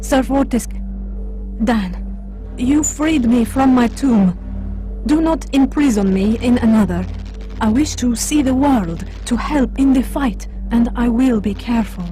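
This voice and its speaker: A woman's voice: on the high side, warm tone, faint music behind, natural voice. An actress playing an earnest young woman freed from a tomb, eager to join the fight. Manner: slow, solemn pleading.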